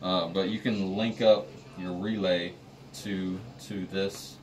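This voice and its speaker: Very low-voiced man, distant in a noisy room. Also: little pitch movement, slow pace. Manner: plain, practical, explaining step by step. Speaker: installer explaining a system to staff. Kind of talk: informal hands-on equipment walkthrough.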